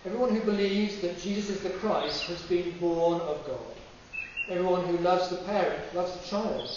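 A middle-aged man giving a formal, measured scripture reading as a lay reader in a church. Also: high-pitched. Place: small church with shuttered windows. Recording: distant, echoey, dull, noisy with birdsong.

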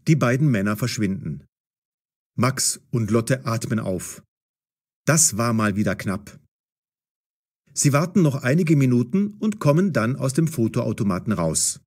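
A man narrating a scripted story for language learners, articulating clearly and expressively. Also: medium pitch, sing-song, unhurried, a little mellow.

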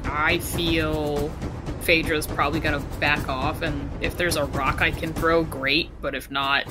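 Higher-pitched male gamer with music behind. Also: little pitch movement, a little slow. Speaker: tabletop role-playing player. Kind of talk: casual game chat. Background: prominent background music.

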